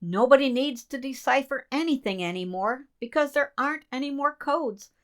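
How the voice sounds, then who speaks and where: A woman's voice: sits high, expressive, moderate pace, fairly bright tone. A woman in a community radio play, playing an earnest assistant code breaker in a conversational way. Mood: casual. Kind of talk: community theater radio drama dialogue.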